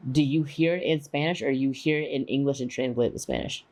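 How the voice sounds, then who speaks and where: A woman's voice: extremely low pitch, fairly quick, darkish tone. A woman whose first language is Khmer, a guest telling stories in a casual chat and asking lots of questions. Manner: chatty storyteller, asks lots of questions. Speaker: podcast guest.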